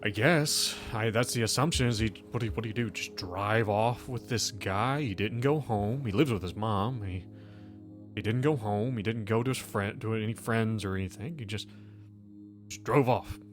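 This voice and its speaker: A man playing a distressed, defensive gas station manager in a tabletop game, halting and repeating himself. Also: fairly low pitch, faint music behind, lightly acted. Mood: defensive, worried.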